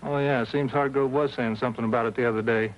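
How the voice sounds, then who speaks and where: An actor playing an easygoing, cooperative American juvenile officer in clipped 1950s police drama style. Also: male voice, mid-range voice, steady tone, fast, lightly acted. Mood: casual, helpful. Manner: casual, terse, drops subjects. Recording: muffled 1950s TV soundtrack.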